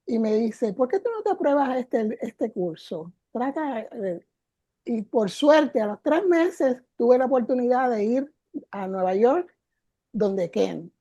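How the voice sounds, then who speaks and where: A woman's voice: on the high side, expressive, takes it slowly, warm tone, no echo, highs cut off. An older woman, a guest teacher, telling her story in an interview with emotion, repeating words for emphasis. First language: Spanish.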